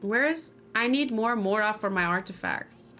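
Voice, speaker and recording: A woman with a mid-range voice gives casual live commentary on a game. She thinks aloud and chats to her viewers in an informal way, with animated exclamations mixed into the talk. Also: medium pitch, unhurried, slight background noise. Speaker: gaming streamer.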